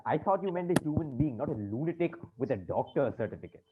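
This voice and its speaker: A man's voice: mid-range voice, very animated, fast, close to an everyday voice. An actor playing a fussy, exasperated bank manager in a comic play, stammering politely as his patience frays. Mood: frantic, outraged. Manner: stammering, fussy, over-polite turning frantic. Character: flustered, exasperated male bank manager, polite but fraying. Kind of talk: comic stage-play acting. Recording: tinny band-limited audio, faint noise.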